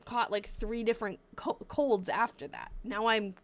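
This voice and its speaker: A woman's voice: fairly high pitch, normal speed. A woman in her twenties with a stuffy nose and a fading voice, chatting casually on a live stream and reading short diary lines aloud between her comments. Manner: chatty, breaks off mid-sentence, reads short diary lines then comments. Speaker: livestream host reading old diaries.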